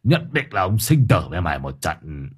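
A man voicing a gruff, crude male sidekick who grumbles and curses. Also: deep voice, very animated, normal speed, heavily acted. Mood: angry.

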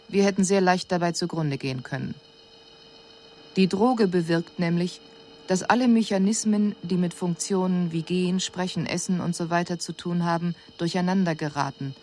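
An actress playing a composed alien envoy who gives a formal, measured, analytical report in a radio drama. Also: female voice, fairly low pitch, darkish tone, a little hiss or hum, lightly acted.

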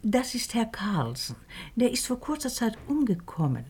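An actress playing a sociable, genteel married hostess in a 1950s German radio drama. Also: female voice, fairly high pitch, very animated, normal speed, darkish tone, husky. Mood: chatty, sociable.

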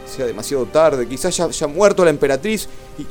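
A man's voice: fairly high pitch, animated, a little mellow, natural voice. A man playing a weary, loyal talking horse who hesitates in despair, in a radio drama. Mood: hopeless, confused. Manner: hesitant, halting, resigned. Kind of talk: Spanish-language radio drama acting. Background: music and rain.

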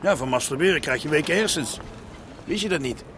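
A man's voice: a little high, lively intonation, a little fast, background noise, natural voice. An actor playing a sardonic, skeptical policeman who needles and mocks in a radio drama. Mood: mocking. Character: gruff, sardonic male Amsterdam police detective.

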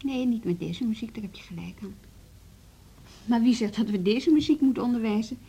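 An actress playing a thoughtful, doubting music teacher in a radio drama, questioning and conciliatory in manner. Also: female voice, on the high side, fairly quick, mellow, slightly rough.

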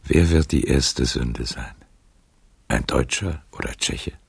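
An actor playing a weary, resigned man over seventy in a literary radio play, speaking haltingly and poetically with a worn voice. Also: no echo, full character voice. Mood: resigned, melancholy. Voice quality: very deep, gravelly, worn voice. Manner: slow, halting, poetic delivery.

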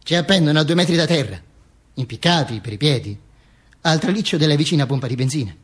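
A man's voice: fairly high pitch, animated, fast talker, slightly rough. A man performing a dramatic radio monologue in a declamatory way, with emphatic, repeated phrases. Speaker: stage actor performing a monologue.

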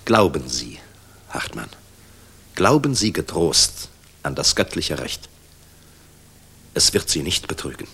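An actor playing a world-weary, middle-aged general in a 1960s German radio play, speaking in a measured, solemn, reflective manner. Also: male voice, rumbling low, big pitch swings, fairly bright tone, breathy edge, heavily acted. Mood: earnest, consoling.

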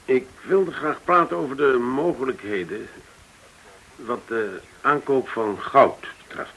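An actor in a radio drama playing a reserved, businesslike client who speaks politely and a little guardedly. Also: male voice, mid-range voice, measured pace, fairly bright tone, a little hiss or hum, rough recording. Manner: polite, guarded, slightly hesitant. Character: reserved, businesslike male client negotiating discreetly for partners.

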